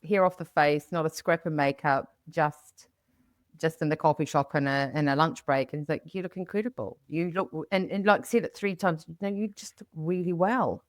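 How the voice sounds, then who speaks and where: A woman with a low voice hosts a casual interview podcast. She talks in a chatty, anecdotal way, acting out quoted remarks, in a close, dry recording. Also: normal speed, dry recording. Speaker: podcast host.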